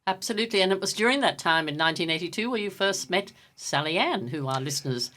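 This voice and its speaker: A woman's voice: fairly low pitch, lively intonation, quick pace, a bit bright. A woman hosting a radio interview show, introducing her guest and asking questions in a polished, enthusiastic way. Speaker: radio host.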